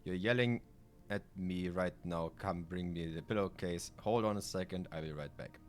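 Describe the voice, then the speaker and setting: Man, German accent, native German speaker, low-pitched voice, live game streamer, casual rambling delivery, occasional swearing. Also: normal speed, a little mellow, soft background music. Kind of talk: live gameplay commentary, reading game text aloud.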